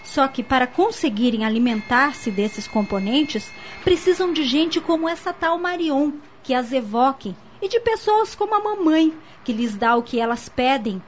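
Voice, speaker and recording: A woman playing an earnest young niece in a radio soap opera, explaining things in an articulate, didactic way. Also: high-pitched. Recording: muffled lo-fi audio with faint noise.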